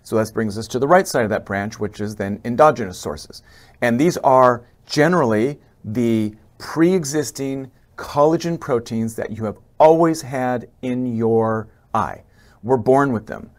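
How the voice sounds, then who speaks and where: A man's voice: medium pitch, a little slow. Conversational middle-aged man explaining. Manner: conversational, many fillers and restarts. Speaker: eye doctor. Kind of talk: explaining a slide lecture. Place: indoor office, webcam inset over slides.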